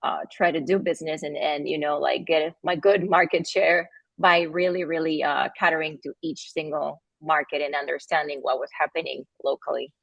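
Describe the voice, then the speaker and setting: A woman from Argentina, with an Argentinian accent and Spanish as her first language, talking in a casual interview, halting often with fillers and restarts. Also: low voice, steady tone, gravelly, a bit bright, no echo, muffled recording. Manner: halting, with many fillers and restarts, laughs between phrases. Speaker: guest, company founder.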